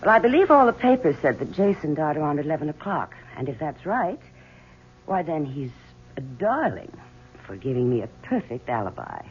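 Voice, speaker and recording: A woman's voice: sits low, sing-song, moderate pace. A woman playing a cool, sly lover under questioning, poised and arch, in a 1970s radio drama. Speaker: actor. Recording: dull 1970s radio, faint noise.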